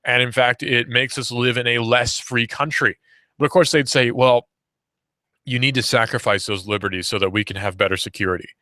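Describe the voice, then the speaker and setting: A man's voice: medium pitch, fairly even intonation, a little fast, bright, forceful tone, no room sound. A young man in his late teens hosting a talk radio show, giving sarcastic, argumentative commentary and repeating points for emphasis. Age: young adult. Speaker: radio host. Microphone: studio microphone.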